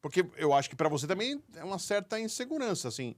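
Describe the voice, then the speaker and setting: Fast-talking male sports interviewer. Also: high voice, very animated. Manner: quick, chiming in with short acknowledgments. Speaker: interview host.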